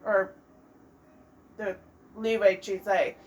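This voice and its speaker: A woman's voice: mid-range voice, level tone, very slow. A woman giving casual let's play commentary, thinking aloud in a hesitant way.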